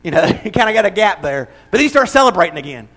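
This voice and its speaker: A man's voice: high voice, very animated, quick pace, a bit bright. An older man preaching a conversational sermon as pastor, mixing humor with emphatic, building repetition. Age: 60s.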